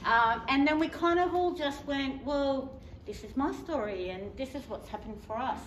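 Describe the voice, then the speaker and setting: A woman with a fairly high voice tells her group's story to a conference audience in a chatty, conversational way, with plenty of ums. She is picked up from a distance in an echoey hall, with faint noise behind her. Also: moderate pace. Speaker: community member presenter. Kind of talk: conference presentation.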